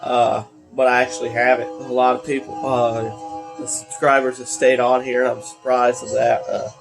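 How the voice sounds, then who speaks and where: A man's voice: mid-range voice, level tone, moderate pace, vocal fry, music in the background. A teenage man, congested from allergies, rambling with many fillers while commenting on a racing game. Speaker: gaming video creator.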